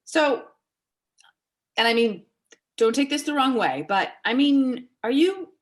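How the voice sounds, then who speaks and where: Animated, awkwardly blunt woman played by an actress. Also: high-pitched, exaggerated voice. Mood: probing, awkward. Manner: halting, dry, tactless. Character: blunt, awkward, teasing woman, nervously polite. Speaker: amateur or local theatre actor. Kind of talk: naturalistic dramatic reading of a stage dialogue.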